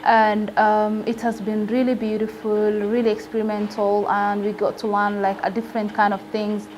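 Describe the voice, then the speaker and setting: A woman's voice: medium pitch, completely flat delivery, normal speed, darkish tone, slight background noise, poor audio. A woman from Somalia, a storyteller, speaking gratefully in an interview. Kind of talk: interview answer.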